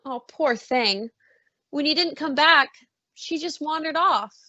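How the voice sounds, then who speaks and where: A woman acting a doting, fussing mother in an old-time radio suspense play, with a gently chiding way of talking. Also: high voice, slow pace, no room sound, full character voice. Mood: probing. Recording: slightly dull, muffled audio.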